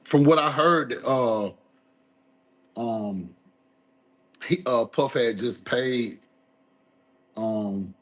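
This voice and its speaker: A rapper, talking in a casual interview, halting with many fillers and restarts. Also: male voice. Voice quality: deep voice. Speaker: guest, rapper.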